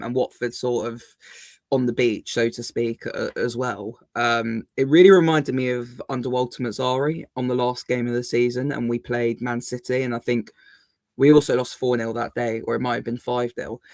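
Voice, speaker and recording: Casual fan-podcast co-host, man, croaky and gravelly, even-toned. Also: medium pitch, dry recording. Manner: chatty, lots of ums and restarts. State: slightly croaky voice. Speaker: co-host of a football fan channel.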